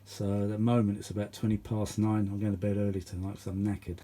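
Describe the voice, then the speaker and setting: A man's voice: fairly low pitch, little pitch movement, quick pace, mellow. A British man rambling casually through a hands-on demonstration, often muttering asides to himself. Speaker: vintage audio hobbyist and video host.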